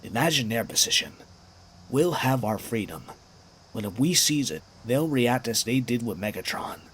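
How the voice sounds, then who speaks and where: A man's voice: medium pitch, lively intonation, normal speed, fairly bright tone, slight background noise, close to an everyday voice, poor audio. A man playing a resolute, persuasive robot civilian leader in an audio drama, earnest and oratorical. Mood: firm, persuasive.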